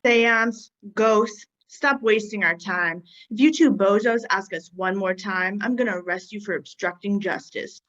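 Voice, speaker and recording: A woman voicing a vain, easily wounded policeman in an animated comedy table read. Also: mid-range voice, measured pace, hardly any pauses, dull audio, lightly acted. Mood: irritated.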